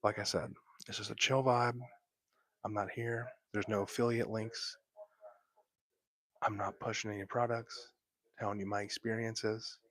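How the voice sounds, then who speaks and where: A man's voice: lowish voice, flat delivery, unhurried, long pauses. Man whispering close to the mic. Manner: soft whispering, laid-back and chatty. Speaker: livestream host. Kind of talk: whispered late-night livestream chat. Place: at home, lying in bed. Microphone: close microphone.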